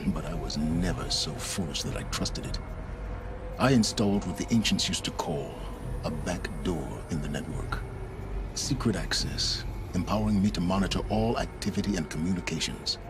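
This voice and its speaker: An actor playing a cold, arrogant male scholar and fugitive schemer in a video game, speaking in a measured, aloof and precise way. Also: deep voice, lively intonation, noisy background. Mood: cool, self-satisfied. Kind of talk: video game cutscene acting. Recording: music under the voice.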